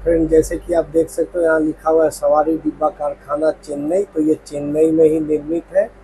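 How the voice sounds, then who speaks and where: A man's voice: fairly high pitch, little pitch movement, fairly quick, dark tone, slight background noise, poor audio. Chatty man touring a train. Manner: chatty, repeatedly addressing viewers as friend. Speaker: travel vlogger. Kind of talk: casual travel vlog tour. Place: train platform and train coach interior. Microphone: phone held at arm's length.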